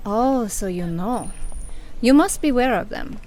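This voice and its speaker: A woman's voice: on the high side, lively intonation, measured pace, warm tone, a little hiss or hum, rough recording. An actress playing a polite but scheming woman who speaks formally and turns threatening, in an audio play. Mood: prim menace.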